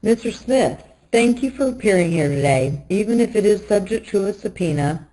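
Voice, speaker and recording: A woman's voice: medium pitch, lively intonation, normal speed, warm tone, low-quality recording. A woman speaking formally as the questioner in a legal deposition.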